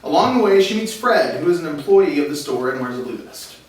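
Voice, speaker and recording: A man's voice: a little high, expressive, a little fast. A man reading stage directions as the narrator of a script table read. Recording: echoey room, far mic, faint noise.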